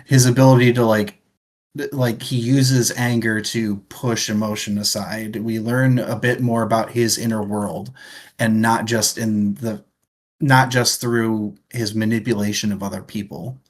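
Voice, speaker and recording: A man's voice: on the low side, little pitch movement, unhurried. A man co-hosting a book club, giving his thoughts on a book in casual conversation.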